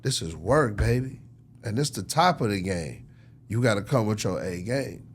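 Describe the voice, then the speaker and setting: A man's voice: fairly low pitch, lively intonation, normal speed. A man being interviewed as a record label executive, talking casually in slangy, informal language and often checking that the listener follows. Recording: clearly noisy background ambience.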